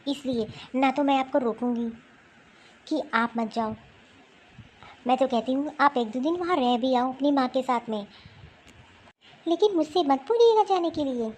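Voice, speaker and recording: A woman playing a hurt, resolute young married woman in an amateur family cartoon, with an emphatic, drawn-out delivery. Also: sits high, a little mellow. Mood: firm refusal.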